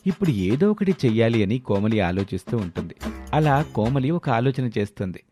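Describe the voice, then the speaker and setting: A man's voice: mid-range voice, very animated, a little fast, warm tone. A man narrating a scripted moral story as the storyteller. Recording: music behind the voice.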